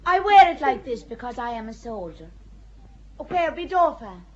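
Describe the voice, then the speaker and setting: Young peasant girl Joan, fervent and blunt, high voice, dull recording with a faint hiss, played by a woman. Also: sing-song, moderate pace, crowd noise. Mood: defiant. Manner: blunt rustic speech, fervent. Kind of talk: audio drama acting.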